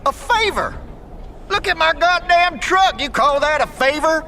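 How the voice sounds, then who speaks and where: An actor playing a gruff, hot-tempered American truck owner in an audio drama, talking in a folksy, indignant way. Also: male voice, extremely high pitch, expressive, unhurried, pushed and bright, full character voice. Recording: clear background noise, vehicle ambience.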